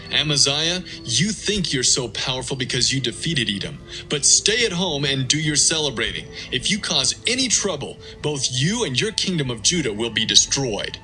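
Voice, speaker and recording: A man's voice: medium pitch, sing-song, normal speed, sharp tone, faint music behind. A man playing a scornful, haughty king in a dramatised audio Bible, talking in a pompous, mocking way. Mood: haughty, taunting.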